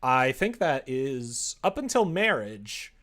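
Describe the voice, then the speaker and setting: A man chatting casually on a livestream as he works at a computer, thinking out loud and joking as he goes. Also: mid-range voice, very animated, slow pace. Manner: musing aloud, joking, reads chat and drafts aloud. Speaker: streamer writing a visual novel. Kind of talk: casual livestream chat while writing. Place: indoors at a computer desk.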